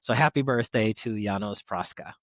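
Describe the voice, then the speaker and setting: A man's voice: fairly low pitch, moderate pace, fairly bright tone, no echo. Male podcast host, dry close-up sound. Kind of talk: reading birthday tributes with casual asides.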